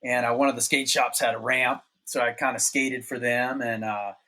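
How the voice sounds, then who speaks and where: A middle-aged man from North Carolina talks through his past in a relaxed, meandering way. He laughs easily between thoughts, and his voice sits at an ordinary middle pitch. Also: moderate pace. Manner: laid-back, rambling, laughs often. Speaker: podcast guest, former pro snowboarder. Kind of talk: casual storytelling.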